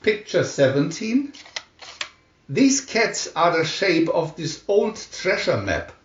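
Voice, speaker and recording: A man narrating a scripted storybook in a deliberate, theatrical announcing manner. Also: a little high, very animated. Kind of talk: dramatic scripted storybook reading. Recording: dull lo-fi, roomy echo.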